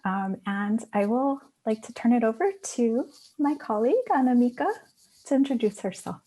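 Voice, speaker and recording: A woman's voice: fairly high pitch, lively intonation, normal speed, warm tone, rough recording. Woman from Hawaii hosting an online panel. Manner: friendly, with many "um" fillers. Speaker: international programs manager hosting a panel. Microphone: video call.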